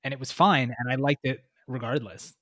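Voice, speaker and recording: Man, mid-pitched voice, relaxed podcast co-host, dry close sound. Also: moderate pace, no room sound. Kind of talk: casual movie review chat.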